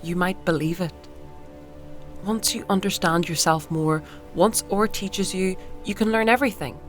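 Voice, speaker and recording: An actress playing a devout, guarded woman in a fantasy audio drama, speaking in a measured, earnest way. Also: on the low side, faint music behind, natural voice. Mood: solemn, guarded. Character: devout, guarded woman loyal to a secret order.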